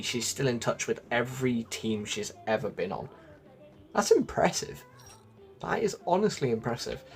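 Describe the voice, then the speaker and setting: A man giving a chatty, casual game walkthrough, addressing his viewers directly as host. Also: mid-range voice. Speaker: gaming video host.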